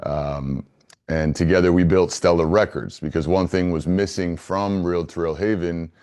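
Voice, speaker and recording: A man with a low, clean, resonant voice answers interview questions conversationally. He sounds earnest and measured, with little rise and fall in his pitch. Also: steady tone, darkish tone. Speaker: interview guest, business founder.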